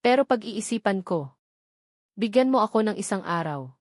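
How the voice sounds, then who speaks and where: A woman's voice: medium pitch, expressive, moderate pace, no room sound, close to an everyday voice. Controlled, authoritative male politician, read by a woman narrator, firm and direct, recorded dry, for audio romance drama. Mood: cold, direct. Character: calm, steely male politician with quiet authority.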